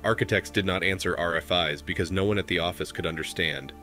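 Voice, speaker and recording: A man in his thirties hosting a commentary show, reading posts aloud in a steady, measured way. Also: deep voice, faint music behind. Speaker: commentary host.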